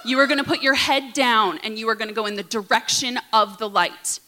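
A booming, commanding father barks slow, deliberate warnings in a clipped, formal way, as his daughter acts him out. The voice carries a bright, sharp edge and rides high. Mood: urgent warning. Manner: loud, slow, commanding pronouncements. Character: man, her alarmed father giving orders.

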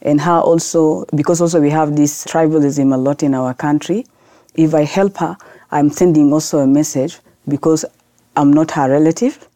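A woman from South Sudan, a lawyer guest on a podcast, answering in earnest, halting with many restarts. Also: low-pitched, steady tone, dark tone, no room sound, studio quality. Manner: earnest, halting non-native English with restarts.

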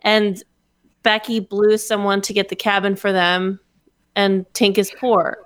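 A woman's voice: medium pitch, little pitch movement, a little slow, no echo. A woman on a comedy podcast recapping a story with frequent ums and uhs. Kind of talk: casual comedy podcast chat.

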